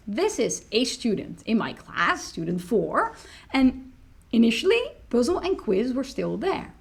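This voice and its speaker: A woman in her thirties, a native Dutch speaker, explaining a software tool step by step in a screen-recorded tutorial from a small room. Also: a little high, creaky. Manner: explanatory, walks through clicks step by step. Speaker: teacher tool demonstrator.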